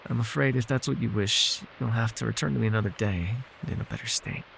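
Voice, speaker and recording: A man playing a gentle, weary immortal elf healer with a wry streak, speaking softly in measured, formal phrases for an intimate fantasy ASMR roleplay. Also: medium pitch, vocal fry, slight background noise, poor audio. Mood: wry, chiding.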